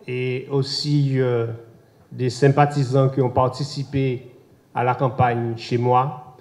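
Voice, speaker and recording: A hoarse man, the mayor, giving a measured formal closing speech to an assembly. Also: medium pitch, a little mellow. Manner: measured, addressing an assembly with thanks and invitations. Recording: roomy echoing council chamber.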